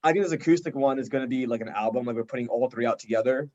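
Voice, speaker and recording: A man's voice: medium pitch, very fast, a little mellow. Young man chatting casually, slightly dull audio. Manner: enthusiastic, informal, heavy use of "like". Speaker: indie rock band member being interviewed. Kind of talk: casual chat.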